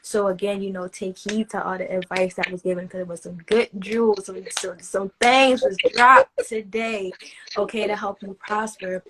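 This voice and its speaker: A woman fitness coach hosting a casual live stream, talking in a relaxed, rambling way full of fillers. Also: mid-range voice, normal speed, noisy background. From not from the Caribbean. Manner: relaxed, loose and chatty, full of "you know" fillers.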